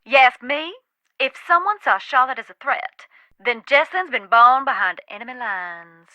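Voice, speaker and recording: A woman's voice: high voice, expressive, normal speed, sharp tone, no echo. An actress playing a wry, haunted Southern woman who knows about ghosts, with a folksy, dramatic, teasing manner in a video game. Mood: frank, knowing. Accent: American South.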